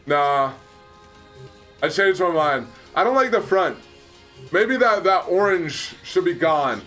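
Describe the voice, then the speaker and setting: A man's voice: sits high, very animated, slow pace, pushed and bright, faint music behind. A young man in his twenties hosting a casual gaming livestream, chatting loosely and answering his viewers, with frequent laughs, on a headset mic in a small room. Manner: chatty, reads and answers chat, laughs often. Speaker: livestream host.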